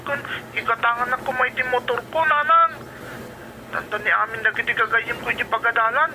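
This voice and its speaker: A man's voice: very high voice, steady tone, fairly quick, sharp tone, slight background noise, full character voice, poor audio. A man playing a spoiled, wheedling teenage student in a radio drama, whiny and pleading, with a Filipino accent.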